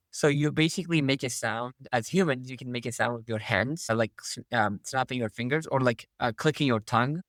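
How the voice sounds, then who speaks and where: A young man from Turkey talks through his story in a relaxed podcast interview. His voice is mid-pitched and recorded close and dry, and he leans on "like" fillers as he thinks aloud. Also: no room sound. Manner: thoughtful, uses many "like" fillers. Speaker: MBA student guest. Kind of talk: casual interview chat.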